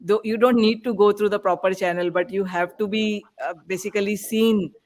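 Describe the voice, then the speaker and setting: A high-pitched voice with an Indian accent speaks in a conversational panel style, putting points across as a participant in a discussion. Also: male voice, fairly even intonation, moderate pace. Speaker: podcast panelist.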